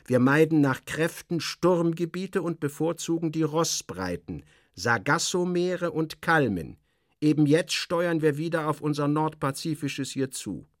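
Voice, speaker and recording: A man reading an audiobook and voicing a stiff, evasive official who explains things in a brisk, officious, emphatic way. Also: fairly high pitch, dry recording, studio quality, pitched above the performer's own voice, lightly acted. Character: stiff, evasive male official guiding a visitor.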